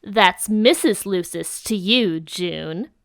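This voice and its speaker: A woman's voice: medium pitch, slow, creaky. A woman acts out a smug female alien troll from an audio drama, a prankster who talks down to everyone with mocking, teasing relish. Faint noise and clicks sit behind the voice. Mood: defensive, smug. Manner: mocking, condescending.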